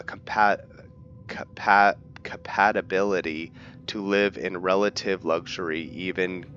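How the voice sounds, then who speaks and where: A man narrating a roleplay game playthrough in a casual, halting way, stumbling over words, on a dull mic. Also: sits low, pushed and bright, slight background noise. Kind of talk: casual gameplay commentary mixed with in-character journal reading.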